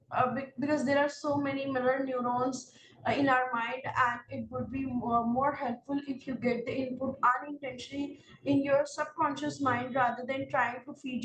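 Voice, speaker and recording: A woman with a Pakistani English accent, an exam-prep instructor giving earnest, direct advice to candidates and pausing often on fillers. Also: sits high, noisy background. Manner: earnest, direct advice in second person, many filler pauses. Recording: roomy, distant mic with faint ambience.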